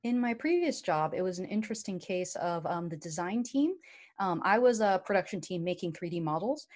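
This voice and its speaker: A woman's voice: lowish voice, animated, brisk, darkish tone. Young woman sharing a work story. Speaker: workshop participant. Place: room at home. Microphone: video call on a laptop.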